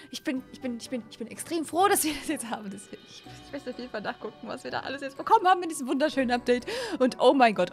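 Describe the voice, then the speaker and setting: A young woman hosting an excited play-along game commentary, gushing and repeating phrases, speaking close to a desk microphone. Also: high-pitched. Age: young adult. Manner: mixes in English catchphrases. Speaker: YouTube gaming host. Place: small room at home, indoors.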